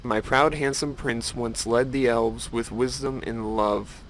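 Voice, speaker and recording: A solemn, sorrowful elf priestess of a fantasy game, read aloud by a man in a creaky mid-range voice. The delivery is earnest and devout, like measured storytelling. Slight noise sits under the recording. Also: medium pitch, fairly even intonation, natural voice, poor audio. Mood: wistful. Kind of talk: reading game quest dialogue aloud.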